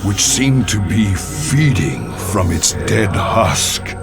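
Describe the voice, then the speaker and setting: An actor playing a grave, ancient alien warrior mystic in a game, speaking in a solemn, formal, deliberate way. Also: male voice, lowish voice, very animated, gravelly, music in the background, full character voice. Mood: grave recounting. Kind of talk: dramatic game narration.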